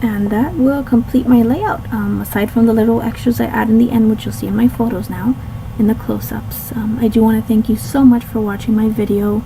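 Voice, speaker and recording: A young woman talks through her crafting in a relaxed, rambling way, full of ums and little apologies. Her voice is raspy and a touch hoarse from a cold, with a heavy gravelly fry and a dark, mellow tone. A faint hiss sits under the recording. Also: on the high side, brisk, rough recording. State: slightly hoarse. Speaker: scrapbooking channel host. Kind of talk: casual voice-over narrating a craft video.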